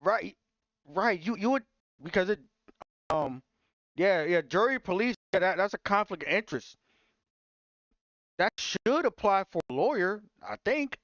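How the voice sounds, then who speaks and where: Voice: mid-range voice, big pitch swings, unhurried, long pauses, a bit bright, no echo, dull audio. A game streamer giving casual live commentary in an informal, slangy, incredulous way. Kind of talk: casual live let's play commentary, reading dialogue aloud.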